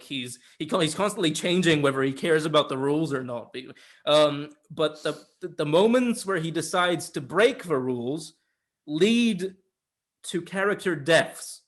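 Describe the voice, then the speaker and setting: A man's voice: a little high, lively intonation, moderate pace. A man giving a casual, opinionated film review in informal, sweary conversation. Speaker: film reviewer on a two-person show.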